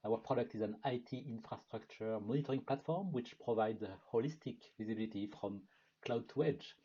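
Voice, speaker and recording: French-accented man presenting, mellow lo-fi. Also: medium pitch. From France. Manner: measured, frequent filler sounds. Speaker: product marketing presenter. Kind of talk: webinar presentation. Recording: dull lo-fi webinar audio.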